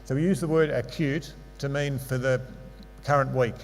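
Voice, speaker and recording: A man's voice: medium pitch, steady tone, moderate pace. Man lecturing in a reverberant hall. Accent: non-local English accent. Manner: explanatory, with dry asides and fillers. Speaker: sports medicine lecturer. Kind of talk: conference lecture with slides. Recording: hall reverb with mains hum.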